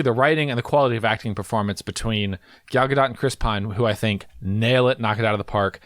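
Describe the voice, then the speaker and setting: A man's voice: mid-range voice, a bit bright, no echo. Guy cohosting a movie podcast, chatty, reads reviews out, very dry sound. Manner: chatty, laughs often, quick asides. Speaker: podcast co-host. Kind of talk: casual chat, reading reviews aloud.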